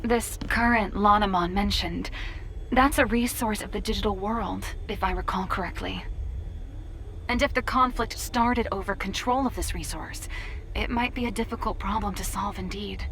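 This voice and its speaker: Woman as knowledgeable game character, mid pitch, analytical, composed, slight background noise. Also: normal speed, fairly bright tone, lightly acted. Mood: pensive. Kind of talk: English video game voice acting.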